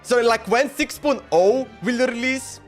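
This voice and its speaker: A man's voice: very high-pitched, unhurried, faint music behind. A man livestreaming a game, chatting casually and rambling as he thinks aloud and repeats himself. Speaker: game streamer.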